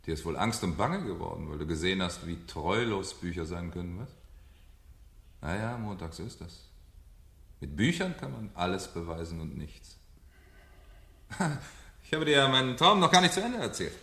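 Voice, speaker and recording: A bright, sharp-edged fire captain who swoops theatrically through his lines. He is domineering and mocking, a well-read man enjoying his own menace. It is an echoey old radio play recording. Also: low voice, sing-song, moderate pace, gravelly, pauses often, full character voice. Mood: jovial, needling. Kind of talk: German radio play acting. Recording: echoey 1970 radio drama studio.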